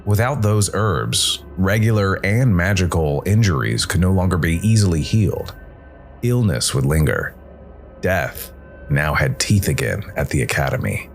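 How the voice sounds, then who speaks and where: A man narrating a scripted dramatic story in a measured way, with pauses for effect. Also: low-pitched, vocal fry. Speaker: narrator, solo storytelling player.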